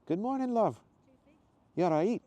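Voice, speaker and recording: A friendly Scottish woman as a man puts her on for a story, chatty and welcoming. The voice is lifted high and moves around in pitch, with a Scottish accent. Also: lively intonation, measured pace, dark tone, dull audio. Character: friendly, softer-spoken red-haired Scottish wife.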